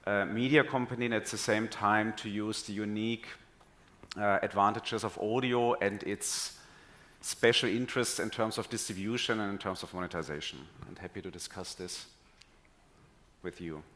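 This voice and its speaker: A man introducing himself on a conference panel as a digital audio executive, measured and formal. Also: fairly low pitch, fairly even intonation, a bit bright.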